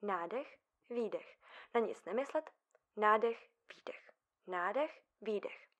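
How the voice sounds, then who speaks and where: An actress playing an anxious, fragile female psychiatric patient in an audio drama, with nervous, halting delivery. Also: mid-range voice, expressive, fairly bright tone, highs cut off. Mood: nervous, self-soothing.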